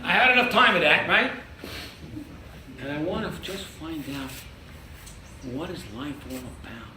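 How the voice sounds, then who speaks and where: An older man teaching a lively interactive Torah class as a rabbi, quizzing his audience and joking. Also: fairly high pitch, a little slow. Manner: animated, quizzes the audience, jokes. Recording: echoey room from far off with noise.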